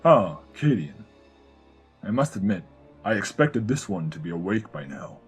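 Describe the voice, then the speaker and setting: A man playing a big, wry, easygoing Tauren bull-man in an audio drama, formally worded and gently teasing. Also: fairly low pitch, big pitch swings, unhurried, husky, faint music behind, full character voice.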